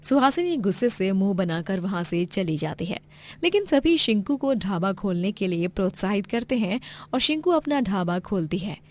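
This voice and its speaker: A woman's voice: medium pitch, animated, moderate pace. A woman narrating a scripted moral tale as the storyteller.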